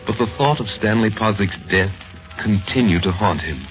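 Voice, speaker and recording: Deep-voiced grave radio narrator. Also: male voice. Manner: grave, deliberate. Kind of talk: scripted dramatic narration. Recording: dull old radio recording with music.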